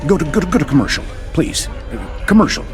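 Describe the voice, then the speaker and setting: Flustered news anchor in a game, stammery, pitch all over the place, music behind. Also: male voice, big pitch swings, brisk, a crowd in the background. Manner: stammering, hesitant. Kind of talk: news broadcast in a video game.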